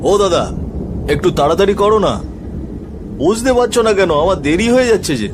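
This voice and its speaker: অভিনেতার গলায় একটু চড়া গলার অধৈর্য যুবক. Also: male voice, high voice, animated, normal speed, background noise. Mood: impatient. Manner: pleading, complaining. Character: impatient young village bridegroom, fretful. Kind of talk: cartoon drama acting.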